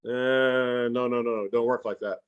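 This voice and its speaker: A man with a mid-pitched voice speaks as a guest educator in a casual question-and-answer style. He is folksy and informal, acting out little imagined exchanges as he explains. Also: moderate pace, smooth, highs cut off. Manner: animated, acts out imagined dialogue.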